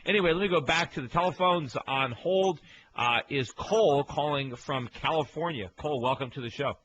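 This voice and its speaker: A man hosts a call-in radio show from his home studio, his voice bright and a bit sharp. He talks in a quick, chatty run, full of fillers and restarts. A faint hiss sits underneath. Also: a little high, dull audio. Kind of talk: casual call-in talk radio.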